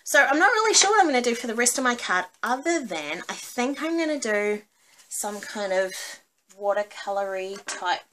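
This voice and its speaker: A woman's voice: on the high side, sing-song, moderate pace, a bit bright, a little hiss or hum. A woman with a New Zealand accent giving a casual, cheerful craft demonstration in an informal, chatty way. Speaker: craft tutorial host.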